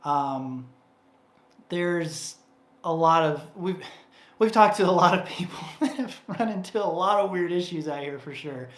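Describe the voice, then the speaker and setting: A chatty man answers viewer questions in a loose, rambling way, full of fillers and restarts. His voice sits a bit high for a man and sounds worn from talking. The room gives it a roomy echo. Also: lively intonation, measured pace, fairly bright tone. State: voice getting tired. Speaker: co-host of a livestream Q&A. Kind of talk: casual livestream Q&A.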